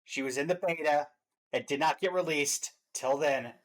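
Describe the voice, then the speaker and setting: A man's voice: fairly high pitch, normal speed, low-quality recording. Playful man chatting as reaction host. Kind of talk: casual chat, commentary.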